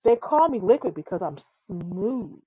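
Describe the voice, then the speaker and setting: A woman's voice: mid-range voice, sing-song, measured pace, slightly rough, no room sound, lo-fi sound. A woman voicing casual, boastful boys from a playground basketball team in a children's storybook.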